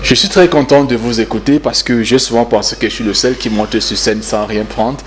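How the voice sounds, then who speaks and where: A man's voice: mid-range voice, a little fast. Male talk-show host, Cameroonian French accent, teasing, hesitant delivery, faint background hiss. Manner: relaxed, hesitant, many fillers and restarts, teasing. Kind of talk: casual live talk show interview.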